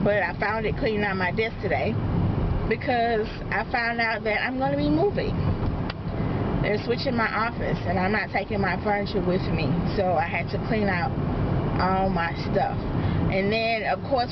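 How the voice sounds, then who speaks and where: A woman with a sore throat and congestion, rambling tiredly in a casual vlog while she drives on the freeway. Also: medium pitch. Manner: slow, tired, rambling with many ums. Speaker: vlogger. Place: car on the freeway. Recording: dull lo-fi phone audio, loud road noise. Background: loud car and road noise.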